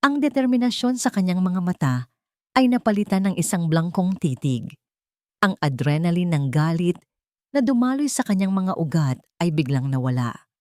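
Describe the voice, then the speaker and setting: A woman reading a scripted dramatic story as narrator, in a measured and solemn storytelling manner. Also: on the low side, lively intonation, a little mellow, dry recording, clean studio sound.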